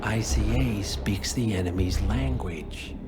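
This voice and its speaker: A man playing a calm, calculating older spymaster in a game cutscene, speaking in measured, deliberate phrases. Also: fairly low pitch, lightly acted. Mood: cool, controlled. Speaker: game character actor. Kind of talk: dramatic scripted game dialogue. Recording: loud music behind.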